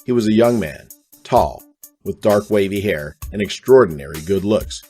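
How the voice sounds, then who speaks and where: A man's voice: lowish voice, animated, normal speed, warm tone, faint music behind. A man reading scripted narration for a radio drama.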